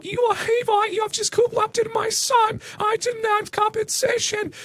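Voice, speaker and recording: A man voicing a shrill, furious, self-righteous mother in a shrieking falsetto. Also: flat delivery, moderate pace, fairly bright tone, dry recording. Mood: outraged.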